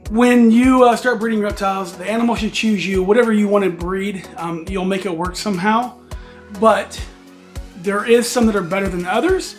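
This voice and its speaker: Hoarse host in his forties, raspy and rather high, loose casual delivery full of fillers and restarts. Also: steady tone, normal speed, faint music behind. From United States. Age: 40s. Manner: rambling with many fillers and restarts. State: hoarse from allergies. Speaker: reptile channel host. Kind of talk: casual vlog talk.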